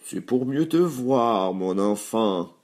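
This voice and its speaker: A man acts out a big bad wolf from a children's storybook. He speaks in a gruff, drawn-out growl, coaxing his prey slowly with sly, greedy menace. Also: lowish voice, animated, darkish tone.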